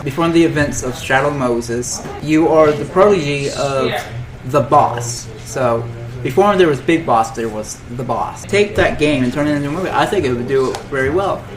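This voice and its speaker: Rambling man chatting over crowd chatter. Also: a little high. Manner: rambling, often restarting and repeating phrases. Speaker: podcast panelist. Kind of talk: casual opinionated chat about games and films.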